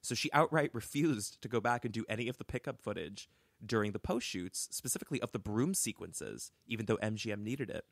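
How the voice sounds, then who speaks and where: Young male podcast co-host, low voice, dry close mic, casual and chatty, rambles with fillers. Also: brisk, vocal fry, no echo. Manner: chatty, animated, full of "like" and restarts. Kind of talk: casual storytelling chat. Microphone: close studio mic.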